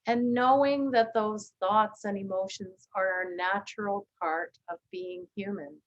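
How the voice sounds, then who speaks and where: A woman leading a guided body scan meditation, speaking gently and calmly with long, unhurried pauses. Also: medium pitch, dull audio. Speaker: meditation teacher.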